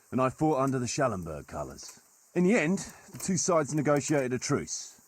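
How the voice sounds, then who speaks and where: Wry medieval mercenary leader for a game: husky, animated storyteller, very dry recording. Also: male voice, mid-range voice, no echo. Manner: colloquial, archaic turns of phrase, wry. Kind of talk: dramatic storytelling in a video game cutscene.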